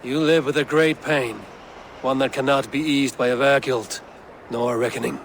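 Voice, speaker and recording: A man playing a stoic, honourable Viking warrior leader in a video game, measured and solemn. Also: medium pitch, fairly even intonation, slight background noise, close to an everyday voice. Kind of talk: dramatic video game acting.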